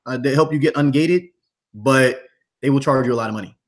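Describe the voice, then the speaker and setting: A man's voice: mid-range voice, brisk. A man chatting casually and earnestly as a guest promoting his course, full of slang and repetition.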